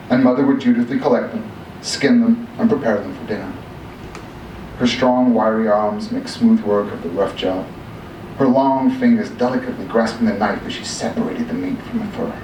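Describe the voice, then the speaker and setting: An aging, obsessive big-game hunter played by a man tells his tale with pompous, theatrical flair and old-fashioned words. He is boastful yet wistful, in a medium-pitched voice. The storytelling is heard from off in an echoey room with clear background noise. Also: darkish tone, lightly acted. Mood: fond, nostalgic. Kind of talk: dramatic storytelling monologue read aloud. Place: live reading in a room. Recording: echoey room from a distance, noisy.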